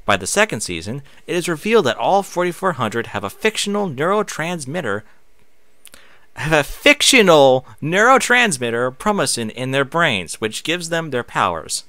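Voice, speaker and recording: Guy reading stuff out loud casually, really lively pitch, dry close sound, trips on words sometimes. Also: normal speed, no echo. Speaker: online reader and streamer. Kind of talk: reading aloud with casual off-script asides.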